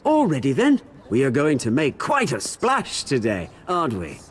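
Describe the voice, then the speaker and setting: A man's voice: mid-range voice, huge pitch swings, normal speed, a little hiss or hum. An actor playing a smug, scheming male crime boss, sneering and theatrical. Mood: gloating. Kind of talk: video game voice acting.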